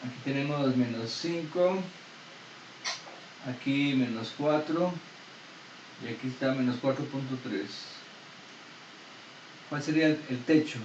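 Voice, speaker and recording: A man's voice: mid-range voice, a little slow, pauses often, a little mellow. A man giving a university math lecture, explaining step by step and asking the students questions. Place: classroom. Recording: echoey room, distant dull mic, faint noise.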